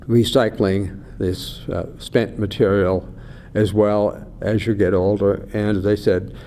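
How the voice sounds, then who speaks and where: An older man, an eye researcher, explaining slowly and carefully in an interview, acting out doctors and patients as he goes. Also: mellow. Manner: measured, acts out doctor and patient. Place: conference venue. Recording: faint low rumble behind.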